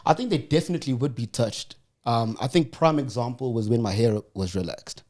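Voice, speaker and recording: A man's voice: medium pitch, a little fast, a little mellow. A young South African man chatting casually on a banter podcast, laid-back and slangy, laughing often. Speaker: podcast co-host.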